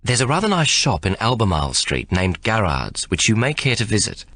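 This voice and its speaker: A man's voice: fairly low pitch, very animated, a little fast, a bit bright, no room sound. A man reading the letter of a fond, fretful older sister in a storybook reading. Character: worried, bossy older sister writing a letter.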